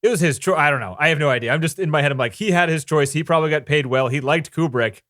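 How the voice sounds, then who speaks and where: A man co-hosting a casual film podcast, quick with jokes and easily confused. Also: on the high side, fairly bright tone, no echo, studio quality. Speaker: podcast co-host.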